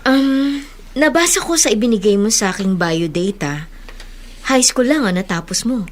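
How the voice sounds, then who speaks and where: A woman's voice: on the high side, lively intonation, lightly acted. An actress playing a generous but wounded woman employer in an emotional Filipino radio drama. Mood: gently assuring. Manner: emotional, halting, pleading. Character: tearful, wounded woman employer, generous, longing for parents' love. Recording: radio drama with music underneath.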